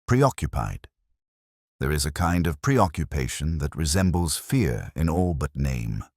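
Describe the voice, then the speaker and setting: Very deep-voiced man reading a guarded woman. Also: big pitch swings, a little slow, a little mellow, no echo, studio quality, heavily acted. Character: guarded, evasive woman colleague in a mystery.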